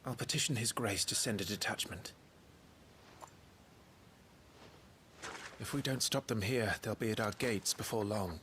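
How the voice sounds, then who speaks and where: An actor playing a young noble knight commander in a video game, earnest and commanding. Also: male voice, lowish voice, fairly quick, fairly bright tone, slight background noise, lightly acted. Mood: resolute.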